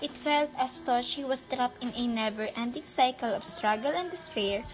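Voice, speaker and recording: A woman's voice: on the high side, moderate pace. A woman with a Filipino accent reading scripted narration for a radio drama.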